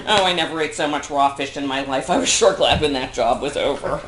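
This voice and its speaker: A woman's voice: low-pitched, fairly quick, a crowd in the background, natural voice. A woman playing a giggly, adoring wife in a stage comedy, playful and exclaiming. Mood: proud, amused. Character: giggly, adoring wife claiming to be a secret agent. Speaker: stage actor. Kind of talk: live one-act comedy play dialogue. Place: indoor theatre stage, workshop performance. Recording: echoey theatre with audience noise.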